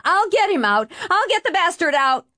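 Casting: woman as burdened, hot-tempered teenage boy, forceful and urgent, dry studio sound, audiobook. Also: lowish voice, expressive, slow pace, no echo. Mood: furious. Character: angry, desperate teenage son burdened by drunken father.

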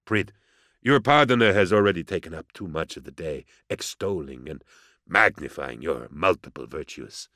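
A man voicing an amiable but menacing interrogator in an audiobook, measured, courtly and sardonic, with theatrical mockery. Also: rumbling low, lively intonation, bright, forceful tone, no room sound, studio quality, heavily acted. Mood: quiet menace. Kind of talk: audiobook character acting.

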